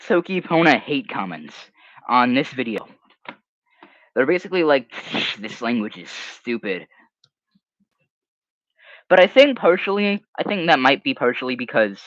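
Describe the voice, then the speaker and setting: A man's voice: mid-range voice, dry recording. A young man whose first language is Hebrew, chatting casually on a livestream and reading comments, rambling and sometimes trailing off into mumbles. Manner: rambling, animated, drops to mumbles. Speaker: livestream host. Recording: dry, slightly muffled home mic.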